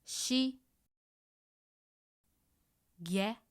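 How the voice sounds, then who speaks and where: A woman's voice: fairly high pitch, drawn-out words, no room sound, clean studio sound. A woman giving a scripted language lesson as a tutor, guiding pronunciation practice with carefully enunciated examples. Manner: clear instructional delivery, carefully enunciating example sounds.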